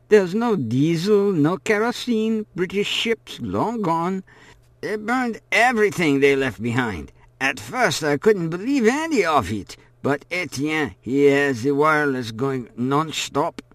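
A man voicing a short, brisk old French woman with a low, pebbly drawl, speaking higher than his own voice. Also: dry recording.

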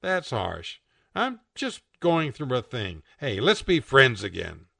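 A man's voice: mid-range voice, huge pitch swings, takes it slowly, vocal fry, bright, forceful tone, dry recording, heavily acted. A man voicing a cartoon planet Earth that coaxes and pleads in a casual way, eager to be friends. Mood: wheedling.

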